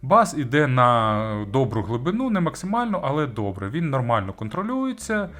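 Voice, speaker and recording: A man's voice: medium pitch, big pitch swings, moderate pace, a bit bright. A man giving a conversational product review as host, searching for words and adding informal asides. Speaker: audio gear reviewer and host.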